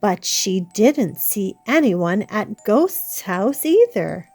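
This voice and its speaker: A woman reading a children's story aloud as an expressive, dramatic storyteller. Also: medium pitch, slow pace, husky, soft background music. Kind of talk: expressive read-aloud narration.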